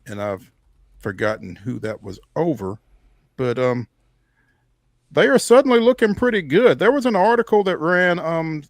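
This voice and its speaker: A man co-hosting a sports radio show, talking casually and deliberately with pauses. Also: a little high, big pitch swings, dry recording.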